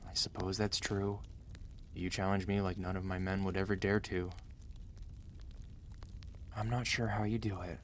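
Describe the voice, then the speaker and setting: Man acting as low-voiced, protective mafia boss husband. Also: flat delivery, slight background noise, close to an everyday voice. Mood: tender, confessional. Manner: earnest, commanding yet tender, measured. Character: protective, gentle mafia boss husband, ruthless outside, devoted. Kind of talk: intimate ASMR romance roleplay, speaking to the listener.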